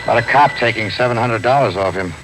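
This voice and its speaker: A man's voice: mid-range voice, fast talker, natural voice. A man playing a terse, practical police detective lieutenant in a 1950s radio drama, speaking in a plain, businesslike way. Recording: noisy old 1950s radio recording.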